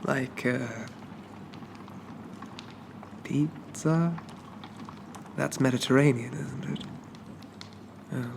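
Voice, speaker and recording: A man playing a shy, polite, lovestruck Victorian zombie gentleman, speaking softly and haltingly with flowery apologies in an ASMR roleplay. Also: medium pitch, steady tone, gravelly, a little hiss or hum. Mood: bashful, musing. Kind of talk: ASMR roleplay, soft-spoken character monologue.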